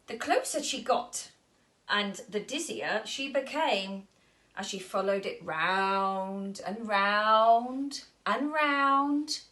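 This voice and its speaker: A woman's voice: mid-range voice, animated, slow, bright, forceful tone. A woman storyteller reading a children's story aloud expressively.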